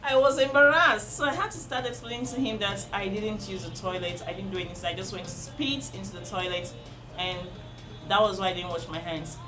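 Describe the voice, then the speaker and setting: A woman's voice: mid-range voice, fairly quick, poor audio. Earnest Nigerian woman over music. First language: Igbo. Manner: earnest and instructive, repeats points for emphasis. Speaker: parenting vlogger. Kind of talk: advice talk to camera. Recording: music playing under the voice.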